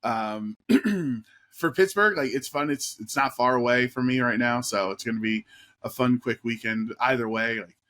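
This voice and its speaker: A man's voice: mid-range voice, normal speed, a bit bright. A man co-hosting a podcast in casual chat, talking with enthusiasm and plenty of filler words. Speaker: podcast co-host.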